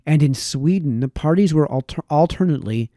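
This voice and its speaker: A man reading a formal historical text aloud in a measured way, now and then stumbling over a word. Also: a little high, expressive, warm tone, dry recording, clean studio sound. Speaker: reader.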